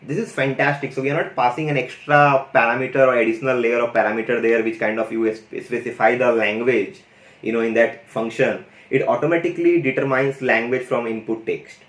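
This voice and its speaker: A man's voice: medium pitch, steady tone, a little fast, fairly bright tone. A man running a casual, enthusiastic tutorial on an AI audio tool, often saying "you know" and "guys". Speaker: tech YouTuber demonstrating an AI audio tool. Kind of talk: casual screen-share tutorial. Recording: roomy echo.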